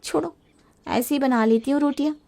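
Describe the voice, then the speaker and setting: A woman voicing a young, modern daughter-in-law, chatty, impatient and quick to complain, in a family moral story. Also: sits high, gravelly, warm tone. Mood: fed up.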